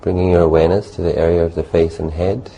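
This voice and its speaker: A man leading a guided meditation as a teacher, speaking gently and unhurriedly in short phrases with long pauses. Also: low-pitched, flat delivery, rough recording. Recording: faint background noise.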